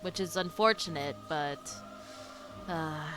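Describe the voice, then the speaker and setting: A woman streaming a game and reacting in casual, informal, expressive commentary. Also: low-pitched, slow pace, music in the background, rough recording.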